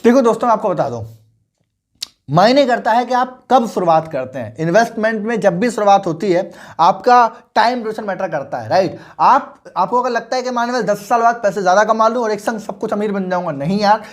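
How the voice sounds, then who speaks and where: A man's voice: high-pitched, lively intonation, a little fast. A young man in his twenties coaching on investing, talking persuasively and often checking that the listener is following. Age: 20s. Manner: persuasive, mixes English into Hindi, asks the listener questions. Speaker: finance coach. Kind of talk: motivational finance lesson.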